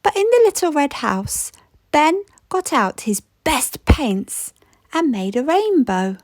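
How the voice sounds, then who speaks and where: Slow, lively woman reading a picture book. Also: sits high, no room sound. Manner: slow, expressive read-aloud with playful rhythm. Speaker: storybook reader.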